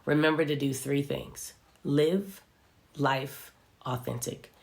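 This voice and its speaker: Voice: mid-range voice, steady tone, takes it slowly, vocal fry. A vlogger with a stuffy, congested voice, giving an earnest, reflective motivational talk straight to viewers. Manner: earnest, speaks straight to viewers, fillers like "right" and "you know". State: stuffy from a cold. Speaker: social media vlogger.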